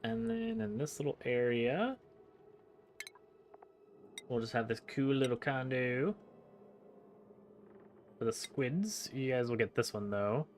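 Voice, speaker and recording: A man's voice: mid-range voice, big pitch swings, takes it slowly, pauses often, slight background noise. A man giving casual gameplay commentary, playfully thinking aloud and breaking into exclamations. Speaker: gaming video host.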